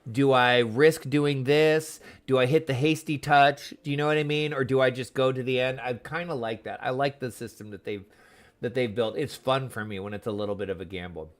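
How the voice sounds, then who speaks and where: A man's voice: mid-range voice, steady tone, a little fast. A man chatting casually on a livestream, commenting on the game he plays and talking to his viewers. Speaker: livestreamer playing an online game.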